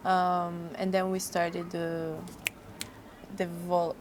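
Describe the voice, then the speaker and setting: A young woman from Brazil with a Brazilian Portuguese accent, speaking English as a second language, chatting as a podcast guest with halting phrasing. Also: lowish voice, monotone, a little hiss or hum. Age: young adult. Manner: halting non-native phrasing, frequent laughs. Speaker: guest model. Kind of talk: casual interview chat.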